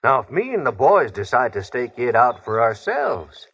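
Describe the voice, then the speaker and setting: An actor playing a scheming, folksy gang leader of claim jumpers in an old-time radio western, with blustering, wheedling rustic talk and a husky voice. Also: male voice, medium pitch, sing-song, moderate pace, fairly bright tone, full character voice. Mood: blustering, pushy. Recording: dull, lo-fi old radio recording.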